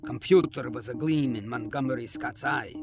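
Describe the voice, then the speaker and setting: A man's voice: mid-range voice, normal speed, over music, close to an everyday voice. A man playing a loyal, wry older starship officer in a science fiction audio drama, speaking with emphasis. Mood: stern.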